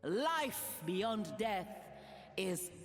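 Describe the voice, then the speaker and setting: Casting: proud, merciless huntress warlord for a video game, solemn and commanding, unhurried, cavernous reverb. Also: female voice, medium pitch, very animated, heavily acted. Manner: imperious, solemn, deliberate. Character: proud, ruthless warlord huntress, chosen of a wolf spirit. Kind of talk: dramatic video game character voice lines. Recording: long reverb, music behind.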